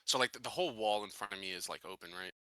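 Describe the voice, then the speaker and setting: A man's voice: lowish voice, racing, sharp tone, no room sound. A man playing a tabletop game, chatting casually through his plans as a player. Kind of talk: casual game strategy chat.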